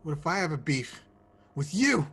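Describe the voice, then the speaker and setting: A man acts out a young lovesick slacker hero. His high voice has a creaky edge, and he talks bluntly and stubbornly, with a petulant streak. It sounds like a script table read. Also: sing-song, moderate pace, fairly bright tone, heavily acted. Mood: defiant.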